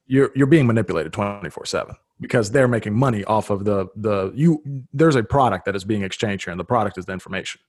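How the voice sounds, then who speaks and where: Man, podcast host, native Serbo-Croatian speaker, lowish voice, dry close sound, earnest, restarts his sentences. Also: expressive, fast, hardly any pauses, darkish tone, dry recording. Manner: earnest, thinks aloud with restarts. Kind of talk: casual conversation.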